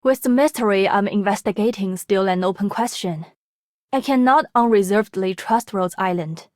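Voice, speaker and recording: A woman's voice: medium pitch, moderate pace, darkish tone, no room sound, studio quality, natural voice. An actress voicing a formal, reserved, dignified woman investigator in a video game. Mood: reserved, respectful. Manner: formal, archaic wording. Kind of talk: video game character voice lines.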